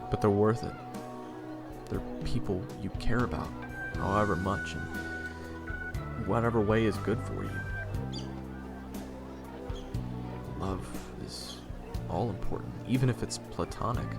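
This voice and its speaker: A man playing a loyal, hot-headed human companion in an audio fantasy drama, talking in a casual, halting, earnest way. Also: lowish voice, over music. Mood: earnest, tender. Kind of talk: audio roleplay drama with first-person narration.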